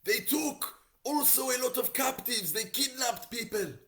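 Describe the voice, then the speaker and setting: A man's voice: mid-range voice, animated, slow, fairly bright tone. A man voicing a panicked messenger in a dramatic story, frantic and repeating himself. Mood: alarmed. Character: panicked messenger man bringing terrible news.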